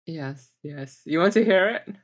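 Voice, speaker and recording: Female interview guest, casual and talkative, quick bursts of speech. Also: fairly low pitch, expressive, slightly rough. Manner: chatty, quick, light laughs, repeated words.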